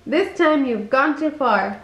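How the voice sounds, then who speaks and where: A cocky storybook boy hero, put on by a woman reader, high in pitch with an echoey room around the voice. He is bold and playful, fond of giving orders. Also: lively intonation, slow pace, a bit bright, exaggerated voice. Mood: indignant.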